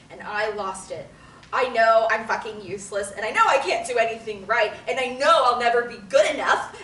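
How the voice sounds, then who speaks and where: A woman reads a prickly young woman forced into therapy, quick to curse and slow to trust. Her voice sits a little high and swings widely. The sound is roomy and picked up from a distance, with faint noise underneath. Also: sharp tone. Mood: defensive, angry. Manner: sarcastic, blunt, swears freely. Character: abrasive, defensive young woman in court-ordered therapy. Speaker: table-read actor. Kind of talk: script table reading.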